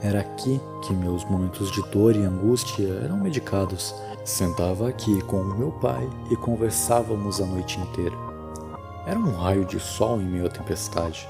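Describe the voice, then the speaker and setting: Man voicing a grave, sardonic dead father's ghost, creaky. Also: fairly low pitch, normal speed, music in the background. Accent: Brazilian. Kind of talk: horror audio drama acting.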